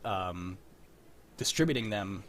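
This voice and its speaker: A man's voice: on the low side, animated, slow, a bit bright, a little hiss or hum. A man hosting a casual livestream chat in a wry, teasing way, with some mild swearing. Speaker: host of a livestream.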